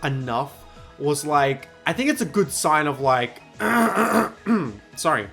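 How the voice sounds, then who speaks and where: Male host, hoarse and worn-out voice, halting casual delivery. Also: fairly high pitch, sing-song, sharp tone, faint music behind. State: hoarse, losing his voice. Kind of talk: casual podcast chat.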